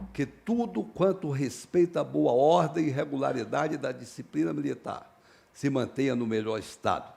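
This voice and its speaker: A man giving a formal keynote speech at a conference, measured and building to emphatic stresses. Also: mid-range voice, gravelly, darkish tone. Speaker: keynote speaker.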